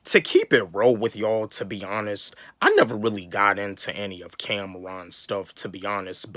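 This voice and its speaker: A man's voice: lowish voice, a bit bright, no room sound. A young man from the US South giving a casual, rambling opinion on a rap feud, often repeating words as he talks. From North Carolina, US South. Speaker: YouTube hip-hop commentator.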